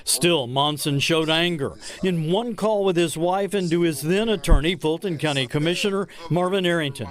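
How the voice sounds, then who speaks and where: An American man narrating a scripted TV news report as an investigative reporter, in a measured, deliberate broadcast delivery. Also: on the high side.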